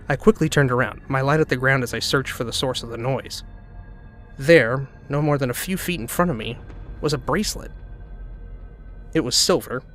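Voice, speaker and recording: A man's voice: medium pitch, a bit bright. A man giving a dramatic narrated reading of a spooky first-person story. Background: background music.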